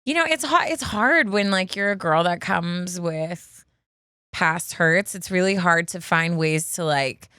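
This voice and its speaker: Co-host, woman, quick reactive chatter, lowish pitch, dry mic. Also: sharp tone, smooth, no echo. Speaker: podcast co-host. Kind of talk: casual chat.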